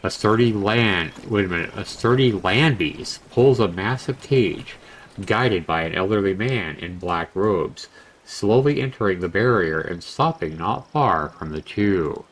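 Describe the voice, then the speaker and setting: A man with a fairly low voice narrates a visual novel. His delivery is very slow and measured, like a dramatic storyteller reading text aloud. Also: lively intonation, poor audio. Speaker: game reviewer narrating a visual novel playthrough.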